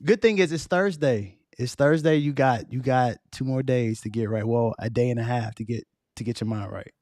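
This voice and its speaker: A man hosting a podcast in a casual, slangy way, his voice hoarse and fading. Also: medium pitch, normal speed, darkish tone, dry recording, clean studio sound. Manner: laid-back, slangy, conversational. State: losing his voice. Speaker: podcast host.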